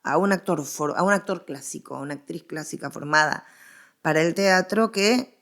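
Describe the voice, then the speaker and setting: Argentine woman explaining, with a creaky lowish voice. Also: moderate pace, a bit bright. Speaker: interviewed historian and guest expert. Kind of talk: conversational scholarly interview.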